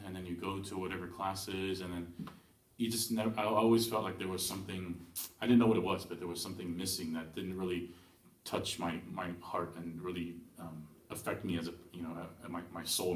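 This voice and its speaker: A young man born in Taiwan giving a hesitant personal testimony at church, with many pauses and restarts. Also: deep voice, steady tone, slight background noise. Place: church hall. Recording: echoey room from far off.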